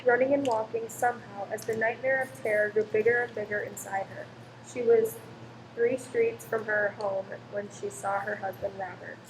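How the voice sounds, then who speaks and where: A woman's voice: mid-range voice, fairly even intonation, normal speed. A woman delivering dramatic scripted narration for a radio play. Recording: clear background noise.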